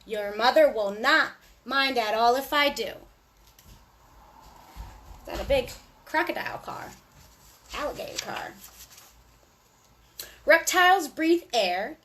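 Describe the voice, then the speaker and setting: Slow, high-voiced woman reading to children. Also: fairly bright tone. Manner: sing-song, deliberate reading with asides to children. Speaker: children's storytime host. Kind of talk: read-aloud storytelling for young children.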